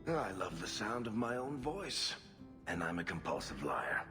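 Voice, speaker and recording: A man's voice: on the low side, big pitch swings, a little slow. A male actor playing a roguish, cocky dwarf storyteller in a video game, with a wry, theatrical way of talking. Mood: wry, musing. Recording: reverberant voice with loud music behind.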